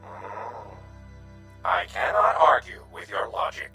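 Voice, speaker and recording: An actor playing a gentle, noble alien creature in a television drama, speaking formally and earnestly in measured phrases. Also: male voice, mid-range voice, big pitch swings, gravelly, exaggerated voice. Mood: puzzled. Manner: formal, measured, earnest diction without contractions. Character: gentle, noble, many-legged telepathic alien creature, male. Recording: echoey voice over faint music.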